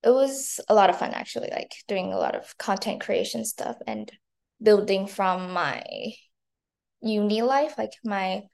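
Female, early 20s, pronounced vocal fry, chatty student interviewee with informal delivery. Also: medium pitch, sing-song, measured pace, a little mellow. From Taiwan and China. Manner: chatty, frequent "like". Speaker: interview guest, marketing intern and university student. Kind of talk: casual interview answers.

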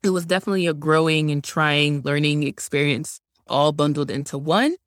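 A woman's voice: low voice, steady tone, normal speed, no room sound. Guest voice: young woman, native Haitian Creole speaker, earnest and chatty, dry recording. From Georgia, US. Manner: earnest, explanatory, uses "like" often. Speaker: guest, science instructional coach. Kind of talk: conversational interview answers.

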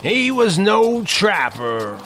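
A man's voice: high voice, big pitch swings, takes it slowly, a bit bright, a little hiss or hum, full character voice. An actor playing a haunted Old West man, solemnly recounting a horror tale in an audio drama. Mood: somber. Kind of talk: dramatic first-person audio drama narration. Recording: dramatic music under the voice.

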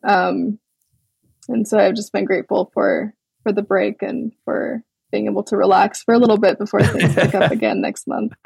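Woman from Hawaii, young adult, husky and gravelly, flat even tone, dry close sound. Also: mid-range voice, moderate pace, no echo. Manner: relaxed, thoughtful, many ums and restarts. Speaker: guest artist. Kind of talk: casual interview over a call.

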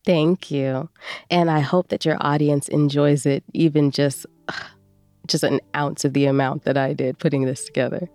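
A woman's voice: sits low, level tone, a little slow, a little mellow, dry recording, soft background music. A woman chatting casually as a guest performer on a podcast. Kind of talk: casual chat.

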